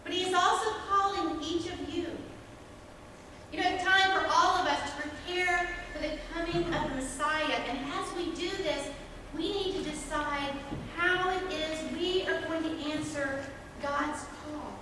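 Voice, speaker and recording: A high-voiced, devout expectant mother from Bible times acted by a woman delivers an earnest monologue. She speaks slowly, with emphatic pauses. The sound rings in a large echoing church, with noise behind it. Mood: earnest, urging. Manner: deliberate, emphatic, with long pauses. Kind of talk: dramatic first-person church monologue. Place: church sanctuary. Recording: echoey church hall, distant mic, background noise.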